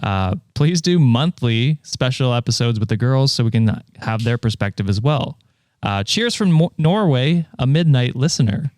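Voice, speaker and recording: A man's voice: medium pitch, expressive, moderate pace, a little mellow, no echo, clean studio sound. A young man co-hosting a podcast, reading listener reviews in a casual, laughing way with lots of "uh" and "um". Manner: laughs often, fills with "uh" and "um". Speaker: podcast co-host.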